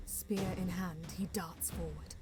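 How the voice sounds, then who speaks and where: A woman's voice: on the low side, steady tone, measured pace, lightly acted. Woman as solemn elf storyteller over music. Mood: urgent, solemn. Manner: solemn, poetic, intense. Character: female elf seer narrating battle, solemn and watchful. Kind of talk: scripted first-person dramatic narration. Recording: music behind the voice.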